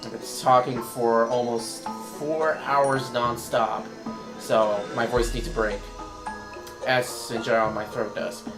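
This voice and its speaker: A man with a hoarse voice giving casual, rambling commentary while he plays a video game. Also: mid-range voice, steady tone, takes it slowly, echoey room. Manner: rambling, thinks aloud while playing. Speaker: let's play commentator. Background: game music.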